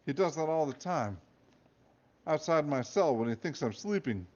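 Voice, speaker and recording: A sly, quick-witted young girl as a man puts her on, pitched up above his own voice. Her delivery rises and falls in a lively way, on a dull lo-fi recording. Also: lightly acted. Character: clever young girl, the boss's daughter.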